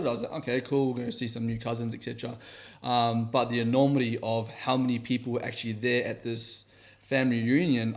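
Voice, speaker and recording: A man from New Zealand, a podcast co-host telling a family story in an easygoing, casual way. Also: mid-range voice. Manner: easygoing storyteller, frequent agreeing murmurs. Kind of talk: casual chat.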